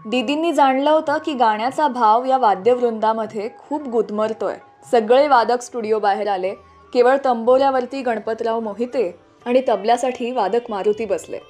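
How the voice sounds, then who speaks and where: High-voiced woman narrating over music. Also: darkish tone. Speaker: radio show host. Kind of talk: scripted music storytelling narration. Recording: music playing under the voice. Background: background music.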